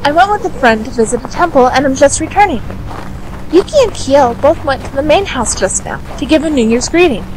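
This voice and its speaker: A woman playing a sweet, excitable, easily flustered teenage girl in a radio drama. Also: sits high, brisk, noisy background. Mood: cheerful, polite. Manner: excitable, polite.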